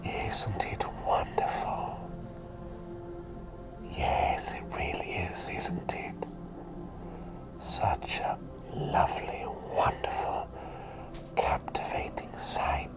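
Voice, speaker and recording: A man playing a gentle, doting plague doctor in an ASMR roleplay, whispering soothingly in a politely genteel, rambling way. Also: drawn-out words, long pauses, low-quality recording. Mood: dreamy, whimsical. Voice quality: soft breathy whisper. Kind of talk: ASMR roleplay, personal attention.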